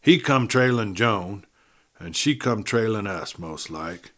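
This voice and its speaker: A man's voice: animated, slow pace, fairly bright tone. A man voicing a dying Western outlaw in an audiobook, desperate and sneering, speaking urgently in a rustic cowboy drawl as he gasps out a confession. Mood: earnest confession. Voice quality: low, breathy. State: gasping, near death. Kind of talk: audiobook character voice.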